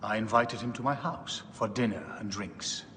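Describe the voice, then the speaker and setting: A man's voice: on the low side, normal speed, bright, forceful tone. A man playing an urbane, arrogant middle-aged Roman ruler in a video game cutscene. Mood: wry, smug. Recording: long hall reverb, faint background noise.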